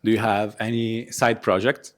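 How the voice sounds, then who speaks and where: A man with a somewhat low voice conducts an interview at a conference. He keeps his questions short and mostly listens, adding small sounds of agreement. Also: level tone, normal speed. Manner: brief, with short backchannel sounds.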